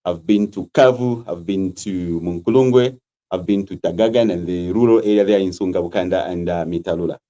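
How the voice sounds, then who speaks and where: Low, dark-voiced Zambian man. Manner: measured, hesitant with fillers, earnest. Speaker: political candidate. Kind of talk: interview answers.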